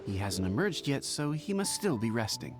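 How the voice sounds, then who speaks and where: A man's voice: mid-range voice, big pitch swings, normal speed, soft background music, close to an everyday voice. A man as an earnest young apprentice. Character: young male pharmacy apprentice, earnest and hurried.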